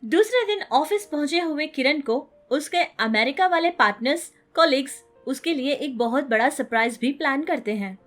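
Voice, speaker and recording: High-pitched woman storyteller. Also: animated, moderate pace, few pauses, a bit bright, soft background music. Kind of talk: scripted moral-story narration.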